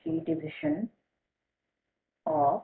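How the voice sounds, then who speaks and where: Muffled phone-quality recording of an indian lady teaching, takes it slow n repeats key terms like dictation. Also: low-pitched, very animated, gravelly. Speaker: biology teacher. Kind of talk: explanatory lesson in mixed Hindi and English. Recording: muffled phone-like narrow-band audio.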